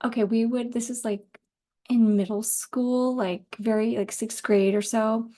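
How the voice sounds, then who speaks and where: Mellow, higher-pitched woman chatting casually. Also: fairly even intonation, unhurried. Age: 30s. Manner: informal, rambling, laughs easily, occasional swearing. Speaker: podcast host. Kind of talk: casual solo podcast chat.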